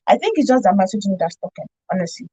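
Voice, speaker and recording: A woman's voice: mid-range voice, very animated, racing, mellow, breathy edge, no room sound, highs cut off. A woman speaking emphatically and conversationally on a radio discussion. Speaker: radio show panelist. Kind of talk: conversational talk show discussion.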